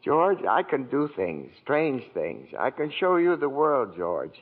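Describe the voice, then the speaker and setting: An older actor playing a gentle, fussy male angel with quaint old-fashioned phrasing, in 1940s radio acting on an old broadcast. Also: medium pitch, huge pitch swings, a little slow, phone-quality sound, full character voice. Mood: earnest.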